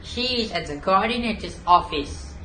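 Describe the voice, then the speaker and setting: A woman's voice: mid-range voice, animated, normal speed, a bit bright, lightly acted. A woman playing a stern, taunting campus security guard in an amateur reader's theater piece. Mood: gloating, stern. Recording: echoey room, faint noise.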